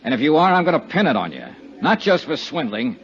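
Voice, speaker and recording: An American actor playing a tough, wry insurance investigator in a clipped 1950s radio detective style. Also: male voice, on the high side, very animated, fast talker. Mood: defiant, hard. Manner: clipped, hard-boiled delivery. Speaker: lead actor and narrator of a radio serial. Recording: dull 1950s radio recording.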